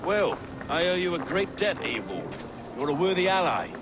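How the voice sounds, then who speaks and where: Lively, high-pitched town reeve. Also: male voice, moderate pace, noisy background. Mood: affable, wry. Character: affable, dutiful Saxon reeve of medieval London, good-humoured. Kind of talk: video game cutscene acting.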